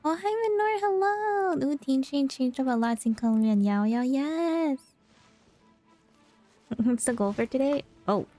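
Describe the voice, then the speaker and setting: A woman's voice: sits high, expressive, measured pace, dark tone, soft background music. A woman chatting casually as she streams a video game. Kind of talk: casual live stream chat.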